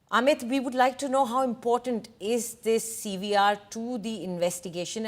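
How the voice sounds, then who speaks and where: A woman with an Indian accent presenting live news as an anchor, explaining in an emphatic manner. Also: medium pitch. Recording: music bed behind the voice.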